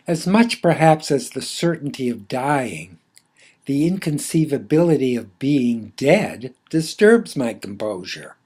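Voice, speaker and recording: A man reading his own philosophical essay aloud in a measured, deliberate, formally literary way. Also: medium pitch, very animated, a little mellow, breathy edge.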